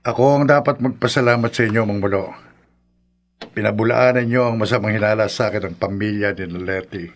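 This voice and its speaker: An actor playing a defensive, domineering husband in a radio horror drama, with emphatic delivery. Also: male voice, on the low side, fairly even intonation, fairly quick, slight background noise, lightly acted. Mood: guarded.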